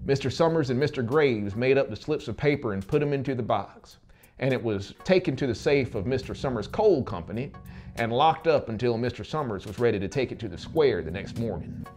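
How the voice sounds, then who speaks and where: Animated male storyteller over soft music. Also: mid-range voice, a little fast. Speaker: audiobook narrator. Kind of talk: dramatic scripted story narration.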